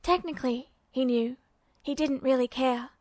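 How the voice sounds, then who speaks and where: A woman's voice: high-pitched, moderate pace, darkish tone, no room sound, studio quality. A woman reading scripted fiction aloud as a story narrator.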